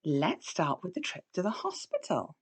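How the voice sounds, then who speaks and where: Woman as grandmother pig, very expressive. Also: fairly low pitch, moderate pace, husky, heavily acted. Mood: storytelling. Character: kindly grandmother pig telling family tales. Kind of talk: children's storybook read-aloud.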